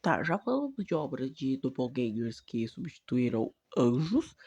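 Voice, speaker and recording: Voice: mid-range voice, sing-song, unhurried, darkish tone, husky, no room sound, lo-fi sound. A Brazilian Portuguese speaker hosting a video essay, with dramatic, emphatic commentary that often poses rhetorical questions. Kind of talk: enthusiastic video commentary with scripted narration.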